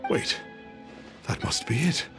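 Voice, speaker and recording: A man's voice: slow, music in the background, natural voice. A man voicing a haughty, cowardly fake immortal exorcist in a video game, with archaic, self-important diction. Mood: puzzled, smug. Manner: haughty, archaic, calls himself "one". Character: pompous fraudulent exorcist posing as immortal, cowardly underneath. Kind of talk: English video game voice acting.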